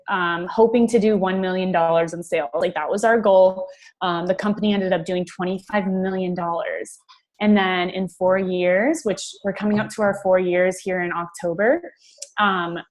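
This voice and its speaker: A woman giving an informal, enthusiastic sales pitch as a team leader on a conference call.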